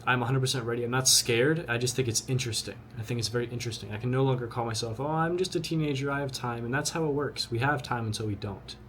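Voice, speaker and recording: A young man of twenty talks to the camera with blunt, earnest conviction, often repeating words as he pushes his point. His voice sits at an ordinary middle pitch. A faint hum of ambience runs behind him. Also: fast, few pauses, fairly bright tone. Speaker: vlogger. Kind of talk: motivational talk to camera.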